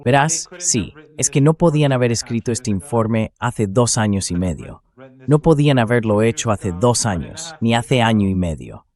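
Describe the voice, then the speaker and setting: A man's voice: medium pitch, big pitch swings, normal speed, a little mellow. A man giving conversational, emphatic political commentary as an interview guest, often digressing as he talks.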